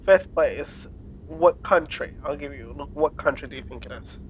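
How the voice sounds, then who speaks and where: A woman's voice: low-pitched, normal speed, a little hiss or hum, rough recording. Playful woman podcast co-host quizzing. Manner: playful quizzing and teasing, laughs often. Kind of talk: casual chat.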